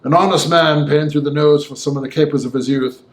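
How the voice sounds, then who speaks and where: A man voicing an opinionated young gentleman in an audiobook, talking in a formal, emphatic, discursive way. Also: fairly high pitch, fairly even intonation, fairly quick, reverberant, lightly acted. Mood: disapproving, confiding. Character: opinionated, talkative young Victorian gentleman, Mister Enfield. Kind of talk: audiobook character voice.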